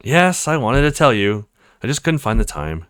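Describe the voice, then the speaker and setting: A man's voice: mid-range voice, very animated, moderate pace, a bit bright, smooth, no room sound, clean studio sound. A man voicing an easygoing, protective young man in a visual novel, casual and reassuring. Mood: apologetic.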